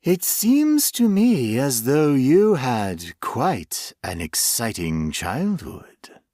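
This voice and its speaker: A man puts on an ancient, fear-eating creature for a horror audiobook. Its voice creeps along at a crawl, leaping up and falling away, crooning and then sinking into hushed whispers. The recording is close and dry. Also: medium pitch, very animated, no echo, voice pushed up. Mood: gloating. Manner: slow, crooning, drifting into whispers. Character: ancient creature feeding on fear, gloating and hungry.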